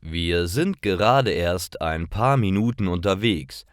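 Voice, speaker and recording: A man narrating simple scripted stories for language learners, reading carefully in clearly phrased short sentences. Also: sits low, lively intonation, takes it slowly, no room sound, clean studio sound.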